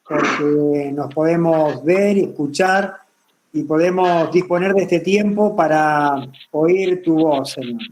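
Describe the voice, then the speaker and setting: A man leading an opening prayer over a video call, speaking slowly and reverently. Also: on the high side, a little mellow, rough recording. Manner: slow and reverent. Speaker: prayer leader of the congregation.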